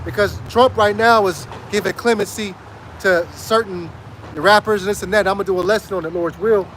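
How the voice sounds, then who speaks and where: Street preacher, man, high voice, hoarse, emphatic and repetitive, faint traffic behind. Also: fairly even intonation, a little fast, fairly bright tone. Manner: emphatic, repeats phrases and asks "right?". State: hoarse, losing his voice. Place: outdoors on a city street.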